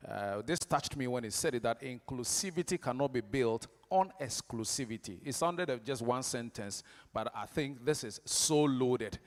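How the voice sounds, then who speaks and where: A man's voice: medium pitch, animated, moderate pace, hardly any pauses. A man working as master of ceremonies at an event, speaking emphatically and rousing the audience. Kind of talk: event emcee announcements. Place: large event hall. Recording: reverberant hall, long echo.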